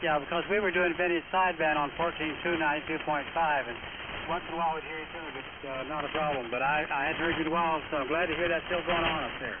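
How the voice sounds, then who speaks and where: A man's voice: on the high side, steady tone, fast. A man casually hosting an amateur radio net as net control. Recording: noisy narrowband shortwave radio transmission.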